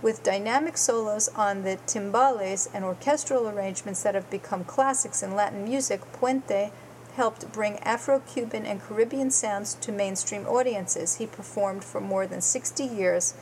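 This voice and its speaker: A woman's voice: medium pitch, normal speed, darkish tone, a little hiss or hum, low-quality recording. A woman hosting a guitar show, reading a prepared segment in an earnest way and going carefully through lists.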